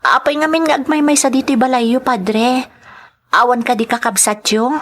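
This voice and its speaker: A woman's voice: a little high, fairly even intonation, moderate pace, fairly bright tone. A woman playing an earnest, troubled young household helper in a radio drama, speaking respectfully with an Ilocano accent. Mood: anxious.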